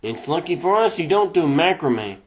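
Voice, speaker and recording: A man's voice: a little high, sing-song, moderate pace. A man voicing a wisecracking duck superhero with a flippant, quippy manner, in a comic dub. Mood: joking.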